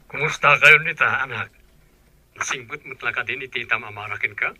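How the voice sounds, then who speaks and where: Man playing a very bright-voiced caring father. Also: mid-range voice, a little fast, slight background noise. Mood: tender. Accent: Ilocano. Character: caring middle-aged father working far away. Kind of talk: Ilocano radio drama acting.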